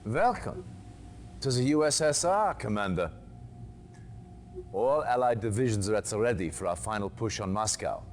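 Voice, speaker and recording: An actor playing a commanding male officer who gives measured, authoritative mission briefings in a game cutscene. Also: on the low side, lively intonation, slight background noise. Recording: game soundtrack music underneath.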